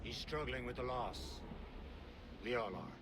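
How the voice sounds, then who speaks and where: An actor playing a male assassin mentor who speaks calmly and reassuringly in a video game cutscene. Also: mid-range voice, a little slow, very noisy, close to an everyday voice. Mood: somber. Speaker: game character.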